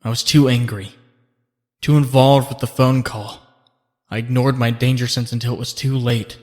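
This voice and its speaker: A man's voice: medium pitch, fairly even intonation, moderate pace, a little mellow. A man voicing an earnest young superhero who also narrates, in a fan comic dub. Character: young male web-swinging hero, married. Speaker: narrator and lead voice actor.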